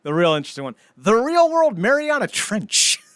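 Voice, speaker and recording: A man with a fairly high voice chats casually as a podcast host. His pitch swings widely as he riffs and swears. The sound is very dry. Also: normal speed, dry recording. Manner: animated riffing, swears casually. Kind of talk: casual comedic podcast chat.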